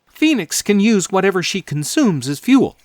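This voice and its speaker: High-voiced, swooping space detective. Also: male voice, sing-song, normal speed, a little mellow. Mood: matter-of-fact. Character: plucky male space detective, skeptical and earnest. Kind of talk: comedic audio drama acting.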